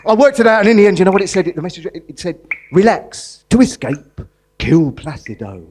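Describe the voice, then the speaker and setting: A man's voice: sits high, sing-song, brisk, a little mellow. A man playing a friendly, dotty long-term prisoner on a comedy stage, stammering and rambling in a flustered, giggly way. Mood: flustered, eager. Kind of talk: live comedy theatre acting. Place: live performance in a museum. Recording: noisy live stage recording.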